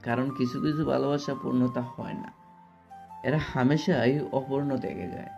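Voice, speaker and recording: Man acting a heartbroken, mellow-voiced young man. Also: medium pitch, steady tone, normal speed, vocal fry, dull audio, soft background music, close to an everyday voice. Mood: sorrowful, resigned. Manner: wounded, pleading, resigned. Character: heartbroken, humble young orphan man, rejected lover.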